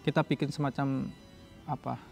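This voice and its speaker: A young adult man from East Java, telling a personal story casually in a halting way, often correcting himself. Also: medium pitch, level tone, vocal fry, loud music behind the voice. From Jombang, East Java, Indonesia. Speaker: doctoral student telling his story.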